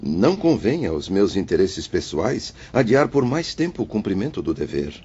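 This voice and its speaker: A man's voice: fairly low pitch, very animated, moderate pace, dark tone, slightly rough. A man playing a remorseful, solemn male spirit awaiting rebirth, speaking formally in an audiobook drama. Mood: grave, determined. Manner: grave, formal, declamatory. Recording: dull lo-fi audio with music behind.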